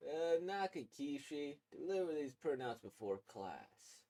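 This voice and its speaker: A man voicing a stern, guarded adult questioning a student in a horror manga, firm and deliberate. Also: on the high side, very animated, mellow. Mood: curt, guarded.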